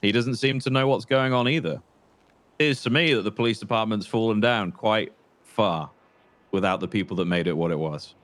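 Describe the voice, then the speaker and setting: A man playing a bitter, menacing former trooper turned criminal in an improvised roleplay, speaking deliberately and haltingly with condescension. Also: fairly low pitch, a bit bright, no echo, close to an everyday voice. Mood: probing, controlled. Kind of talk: improvised crime roleplay phone conversation.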